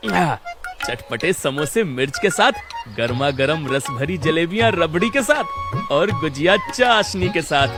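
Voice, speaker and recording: A man playing a boisterous rustic village man in a comic cartoon, with a drawn-out, exclamatory, folksy delivery. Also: a little high, lively intonation. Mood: merry, relishing. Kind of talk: cartoon voice acting. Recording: background music under the voice.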